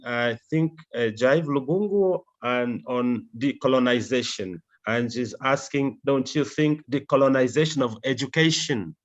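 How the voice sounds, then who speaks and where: A male moderator with a mid-range voice hosts an online academic discussion. He speaks politely and hesitantly, passing along questions from the audience. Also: mid-range voice. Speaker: panel moderator.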